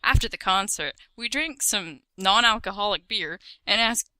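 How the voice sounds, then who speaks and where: A young woman co-reading a silly story, tossing in slow, laughing quips. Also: mid-range voice, lively intonation, sharp tone, dry recording. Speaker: co-reader. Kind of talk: casual joking chat.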